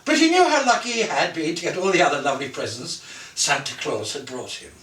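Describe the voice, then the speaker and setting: A man reading a children's Christmas story aloud in an expressive, theatrical way, savouring lists and softening the ends of his phrases. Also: on the high side, a little fast. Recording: roomy echo, distant from the mic.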